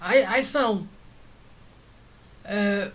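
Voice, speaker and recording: A woman's voice: mid-range voice, very slow. A woman giving casual, informal playthrough commentary, reacting and musing as she goes. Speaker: game streamer. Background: game music.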